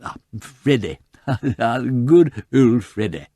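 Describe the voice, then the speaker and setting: Lord Peter, a man doing a tipsy giggling gentleman. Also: medium pitch, sing-song, slow, no echo, clean studio sound, pitched above the performer's own voice. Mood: giggly drunk. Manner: tipsy, giggling, slurred and rambling. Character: drunken, giddy aristocratic young gentleman. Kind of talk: audiobook character voice.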